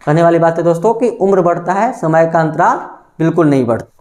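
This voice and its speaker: A man's voice: fairly high pitch, brisk, a little mellow. Man who keeps quizzing then answering himself. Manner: asks the class questions and answers them himself, repeating each answer; calls listeners friends often. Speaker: maths teacher. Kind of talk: exam-prep maths lesson.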